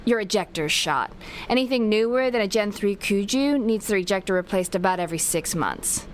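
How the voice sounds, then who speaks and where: An actress playing a deadpan young woman who fixes robots, wry and casual, in a comedy sci-fi audio drama. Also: mid-range voice, brisk, lightly acted. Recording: clear background ambience noise.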